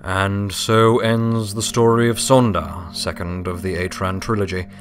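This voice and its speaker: Low-voiced male storybook narrator. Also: steady tone, unhurried, soft background music.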